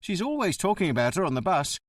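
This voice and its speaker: A man puts on a surly, reed-thin bus driver of about fifty, pitched well above his own reading voice. He speaks bluntly and with a stickler's grievance, in a storybook read close and dry. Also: big pitch swings, fast, no room sound. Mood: matter-of-fact. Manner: blunt, aggrieved, sticks to the rules.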